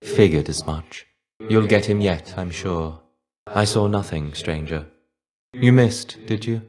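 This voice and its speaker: A tired ghostly greek warrior from a game, low slow voice, wistful and kinda dry, resigned. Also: male voice, darkish tone. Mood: dry, understated. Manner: measured, wistful, resigned, dryly understated. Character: weary, melancholy shade of a fallen ancient Greek warrior. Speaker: voice actor in a video game. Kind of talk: video game character voice lines.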